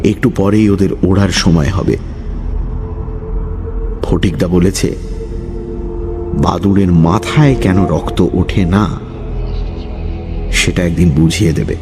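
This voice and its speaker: A man narrating a scripted horror story dramatically, with suspenseful pauses. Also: low-pitched. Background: music.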